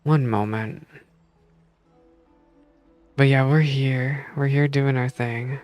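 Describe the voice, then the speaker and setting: A woman streamer with an extremely low voice reacts to a game. She chats casually with her viewers as game music plays behind her. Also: fairly even intonation, slow, darkish tone. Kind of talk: casual live-stream chat and commentary.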